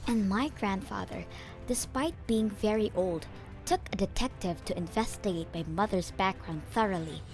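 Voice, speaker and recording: A woman narrates a scripted story for a comic dub. Her pitch is average for a woman, and music plays faintly underneath.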